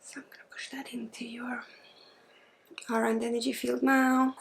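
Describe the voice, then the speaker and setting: A woman gives a spiritual ASMR healing session, speaking softly and informally with pauses and often dropping into a breathy whisper. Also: on the high side, level tone, noisy background. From Sweden. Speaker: spiritual healer and ASMR host.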